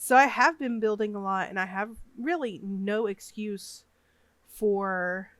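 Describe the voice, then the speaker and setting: Slow, rambling woman with a cold. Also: medium pitch, fairly bright tone, no room sound. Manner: rambling, hesitant, lots of fillers. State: has a cold, a bit sniffly. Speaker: gaming YouTuber. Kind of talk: casual voiceover over a speedbuild.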